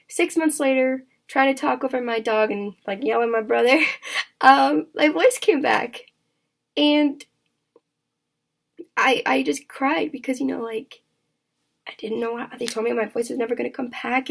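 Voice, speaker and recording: A young woman in her twenties is talking openly with her vlog audience. Her voice sits high and has a gravelly, raspy edge. She speaks in halting stretches, full of fillers and restarts. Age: 20s. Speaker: vlogger. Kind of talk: candid personal vlog chat.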